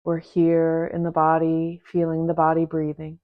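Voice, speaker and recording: Female meditation teacher, very flat, unvarying delivery, gentle instruction with long pauses, slightly muffled recording. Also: low voice. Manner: gentle, unhurried, with long pauses between short phrases. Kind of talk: guided meditation, soft instruction.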